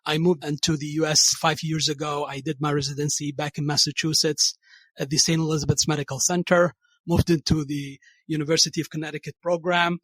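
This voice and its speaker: A man from Saudi Arabia who talks with eager enthusiasm as a podcast guest. He often repeats his phrases, and his pitch sits a little on the high side. The recording is dry, with no room sound. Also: level tone, brisk, fairly bright tone, slightly rough, no echo. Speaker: chief cardiology fellow, guest. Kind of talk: podcast interview, introducing himself and a place.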